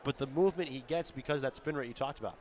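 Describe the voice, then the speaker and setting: A man's voice: medium pitch, rapid-fire, darkish tone. A man calling baseball play-by-play for radio in short, clipped phrases that lift with the action. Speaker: play-by-play commentator. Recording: ballpark crowd and outdoor ambience behind. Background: crowd at an outdoor ballpark.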